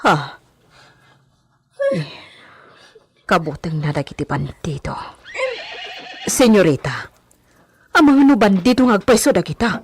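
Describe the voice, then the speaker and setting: A woman playing a nosy, excitable hacienda servant in a radio comedy drama. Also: fairly low pitch, unhurried, pauses often, husky. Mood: curious, nervous.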